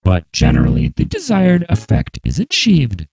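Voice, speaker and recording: Slow, robotic vocoded narrator with wildly jumping pitch. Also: huge pitch swings, gravelly, a little mellow. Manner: slow, exaggerated, very proper enunciation. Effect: vocoder, randomly shifting synthesizer pitch and chords. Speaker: composer narrating own piece. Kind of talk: scripted narration explaining an electronic music piece.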